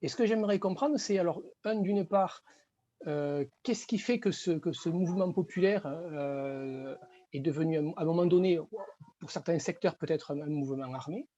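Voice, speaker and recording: Husky man asking questions, muffled audio. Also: a little high, normal speed, dark tone, no echo. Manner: hesitant, polite, many fillers. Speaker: audience member asking questions. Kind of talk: question from the audience.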